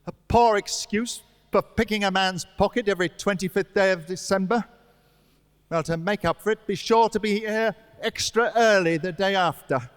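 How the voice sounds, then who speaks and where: An actor playing a scornful, bitter old miser in a radio play, with curt, grumbling delivery. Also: male voice, sits high, moderate pace, smooth, dry recording, clean studio sound, lightly acted. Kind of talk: stage radio-play acting.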